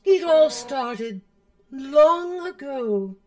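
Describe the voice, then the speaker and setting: A woman's voice: high voice, lively intonation, drawn-out words, a little hiss or hum, full character voice. A woman voicing a proud, hot-tempered monster warrior knight in a video game, with dramatic, menacing delivery. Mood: grave, menacing. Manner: dramatic, menacing, forceful declamation. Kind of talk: fan-dub game voice acting. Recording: music behind.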